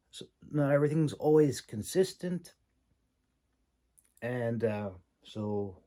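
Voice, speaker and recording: A man giving an informal, rambling critique as a host, speaking haltingly with long pauses and repeated words. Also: mid-range voice, dark tone. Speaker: host, independent fantasy writer and audiobook reader giving critique.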